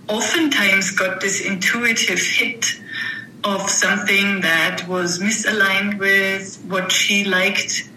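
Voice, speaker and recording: A woman answering interview questions in a thoughtful, halting way, often restarting her sentences. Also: mid-range voice, sharp tone, echoey room, a little hiss or hum, poor audio. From Germany. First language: German. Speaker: guest, author and mentor.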